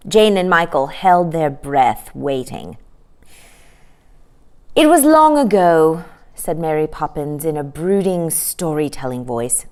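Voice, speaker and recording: A woman storyteller reading a children's book aloud with expressive narration. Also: fairly low pitch.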